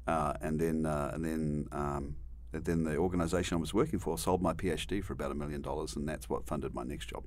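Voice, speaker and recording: A man's voice: extremely low pitch, steady tone, brisk, few pauses, a little mellow, a little hiss or hum. A middle-aged man from New Zealand with a New Zealand accent, a guest telling stories in a rambling way, with many restarts and dry humor. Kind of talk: conversational interview storytelling.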